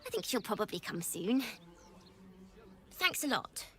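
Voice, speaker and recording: A woman's voice: mid-range voice, sing-song. A woman voicing a timid, earnest young child in a video game, talking hesitantly. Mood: grateful, shy. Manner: hesitant, halting.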